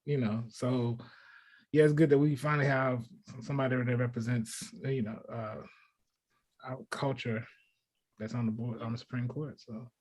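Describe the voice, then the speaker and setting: A man's voice: medium pitch, fairly even intonation, normal speed, darkish tone, rough recording. A man on a talk show panel, debating sports casually in a matter-of-fact, argumentative way.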